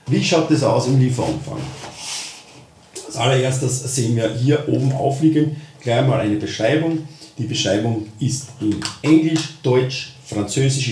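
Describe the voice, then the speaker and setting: A man hosting a casual product review, speaking deliberately with emphasis on key words. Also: mid-range voice, warm tone, slight background noise. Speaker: host of a fishing channel. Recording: roomy echo, distant microphone.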